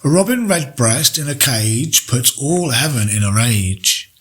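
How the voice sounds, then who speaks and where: A man reading poetry aloud in a deliberate, measured way with pauses between phrases. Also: medium pitch, expressive, breathy edge. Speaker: poetry reader. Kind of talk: poetry recitation, read aloud.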